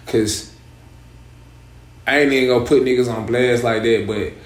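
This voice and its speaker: Young Atlanta rapper guest, profane and lively storyteller, middle pitch, echoey room recording. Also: male voice, moderate pace. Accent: Atlanta Southern. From east side of Atlanta, Georgia. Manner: streetwise, profane, animated storytelling. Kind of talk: casual interview talk.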